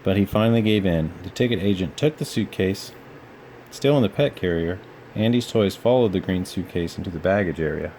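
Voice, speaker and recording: A man's voice: low-pitched, fairly quick, warm tone, a little hiss or hum, poor audio. A man narrating a children's storybook as a read-aloud storyteller.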